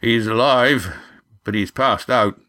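A man acts out a hearty, gruff skipper in a seafaring storybook. His speech is rustic and clipped, with the weight of someone used to giving orders on deck. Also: medium pitch, takes it slowly, pushed and bright. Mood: grave. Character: gruff, huge-voiced commanding sailing skipper, adult man. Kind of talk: audiobook character acting.

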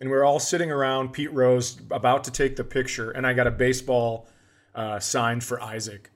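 Male comedy co-host with an average-pitched voice, casual and wry, swears freely while relaying who said what. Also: little pitch movement, normal speed. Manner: wry, swearing, quotes dialogue.

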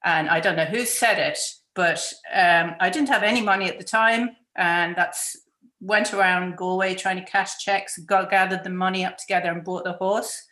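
A woman's voice: fairly low pitch, steady tone, moderate pace, pushed and bright. A woman hosting a casual interview, telling her own story. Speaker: interview host. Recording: echoey room.

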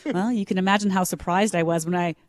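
A woman's voice: lowish voice, fairly even intonation, fast talker, no room sound, studio quality. A woman co-hosting a morning radio show, talking animatedly and acting out quoted lines in her own voice. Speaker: radio co-host. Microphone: studio mic, separate room.

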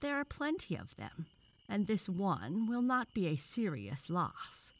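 A woman's voice: mid-range voice, wildly swooping pitch, unhurried, voice pushed up, exaggerated voice. A woman voicing a pompous, severe male frog professor who lectures with scorn in a children's storybook.

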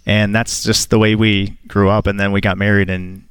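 A man's voice: lowish voice, level tone, moderate pace, a bit bright, clean tone, no echo. A man of thirty telling an eager, rambling story of paying off his home as a guest on a call-in show. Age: 30s. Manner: eager, rambling, repeats himself. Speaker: caller sharing a debt-free story. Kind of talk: casual chat.

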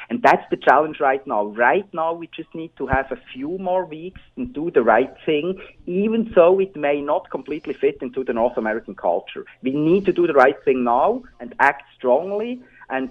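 A man's voice: mid-range voice, husky, phone-quality sound. A man with a Swiss accent explaining things emphatically as a medical expert in a radio interview. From Switzerland. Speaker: doctor and public health adviser interviewed as expert.

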